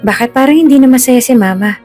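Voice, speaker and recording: A high, thin child's voice, put on by a woman, timid and anxious. The child pleads in an audio drama with music underneath. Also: quick pace, mellow, lightly acted. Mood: worried, tearful. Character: frightened, pleading young child, Sasu.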